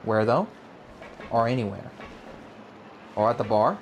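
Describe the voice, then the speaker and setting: Young man, animated, slangy gaming commentary. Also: mid-range voice, unhurried, slight background noise. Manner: slangy, often says bro. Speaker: gaming video host. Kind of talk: casual let's play commentary. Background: game music.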